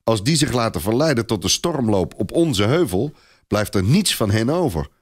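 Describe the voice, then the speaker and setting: A man's voice: medium pitch, sing-song, normal speed, husky, pitched above the performer's own voice. A man voicing a proud, reckless nobleman knight in a storybook reading, boastful and confident. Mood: boastful, mocking. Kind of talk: audiobook character dialogue.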